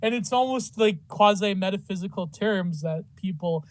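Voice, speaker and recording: A man's voice: sits high, moderate pace, no room sound. A man talking in a casual online group chat. He rambles and uses "like" a lot, with some joking asides. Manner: rambling, heavy use of "like", joking. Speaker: participant. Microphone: online audio chat.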